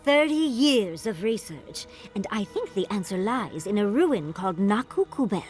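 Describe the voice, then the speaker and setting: An actress playing a tiny, eager, self-assured scholar in a video game, chatty and theatrical. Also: female voice, mid-range voice, big pitch swings, moderate pace, a little mellow, faint music behind, natural voice. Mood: breezy, eager. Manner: theatrical, breezy. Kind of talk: scripted video game dialogue.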